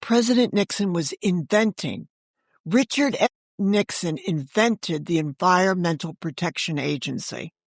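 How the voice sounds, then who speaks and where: An American woman in her sixties telling stories conversationally as an interview guest, animated and emphatic, jumping between thoughts. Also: fairly low pitch, unhurried, no room sound, studio quality. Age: 60s. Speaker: guest, author and audio drama creator.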